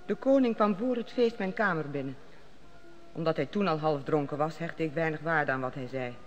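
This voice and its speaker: A woman playing a worried, dignified queen in a radio play, speaking formally and measured. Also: sits low, faint music behind, natural voice. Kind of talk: 1960s radio drama acting.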